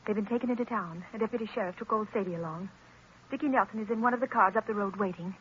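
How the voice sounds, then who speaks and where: An actress playing a devoted young heroine in an old radio drama, speaking quickly and emotionally. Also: female voice, mid-range voice, a bit bright, close to an everyday voice. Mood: anxious. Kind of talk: 1930s radio drama acting. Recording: noisy lo-fi old radio recording.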